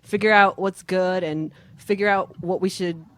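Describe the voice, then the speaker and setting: A woman moderating a casual online panel, speaking informally and haltingly as the host. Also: on the low side, steady tone, a bit bright.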